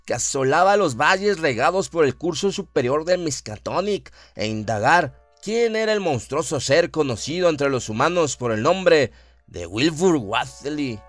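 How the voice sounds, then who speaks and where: A man's voice: a little high, animated, normal speed, dry recording. A man reading scripted horror fiction as the dramatic narrator of a radio play.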